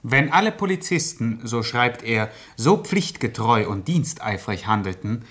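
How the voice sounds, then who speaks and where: A man's voice: mid-range voice, big pitch swings, unhurried, a bit bright. A man narrating a scripted radio play in a precise, dry, mock-documentary way. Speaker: second narrator.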